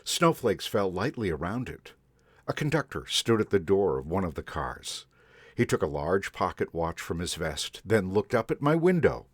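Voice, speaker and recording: A man in a Santa persona reading a picture book aloud to children in a gentle, expressive way. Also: deep voice, moderate pace, slightly rough, dry recording. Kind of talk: storybook read-aloud to children.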